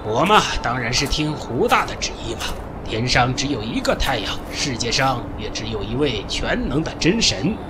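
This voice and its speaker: Actor as folksy old desert guide, swooping pitch. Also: male voice, very animated, normal speed, hardly any pauses, noisy background, close to an everyday voice. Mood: pious. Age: older. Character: devout, sly old male desert guide. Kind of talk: Chinese audio drama acting.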